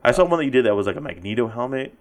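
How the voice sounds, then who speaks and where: A man's voice: medium pitch, expressive, racing. A man hosting a podcast in casual conversation, enthusiastic and full of praise, laughing often. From Spanish heritage. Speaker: podcast host. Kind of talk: casual interview chat.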